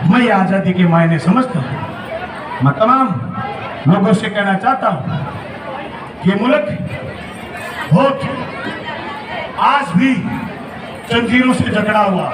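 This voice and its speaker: Man, legislator addressing an outdoor crowd, very high-pitched and declamatory, booming over PA echo from afar. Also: slow, pauses often, far from the mic. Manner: rousing, declamatory, often shouting. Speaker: member of state legislature. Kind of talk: public speech at Independence Day ceremony. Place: outdoor public ceremony ground. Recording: echoey loudspeaker sound from afar, crowd noise.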